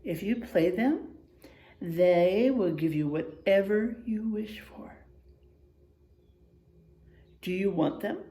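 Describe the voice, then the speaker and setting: A woman voicing an old, wise female wildebeest in a storybook, deliberate, gentle and knowing. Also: medium pitch, lively intonation. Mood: hushed, mysterious.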